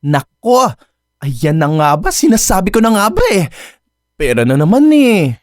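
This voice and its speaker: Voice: mid-range voice, sing-song, a little slow, no room sound, clean studio sound. A performer in a Filipino radio drama playing a clingy, sweet, teasing and persistent lover who coaxes playfully. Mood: concerned, insistent. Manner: playful, wheedling, coaxing.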